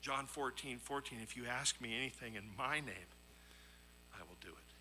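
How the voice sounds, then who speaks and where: A man's voice: medium pitch, normal speed, sharp tone, slight background noise. A man preaching a sermon in an earnest, emphatic way, as he reads scripture and tells illustrative stories. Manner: earnest, emphatic, with rhetorical questions and repetition. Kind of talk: sermon with scripture readings and anecdotes.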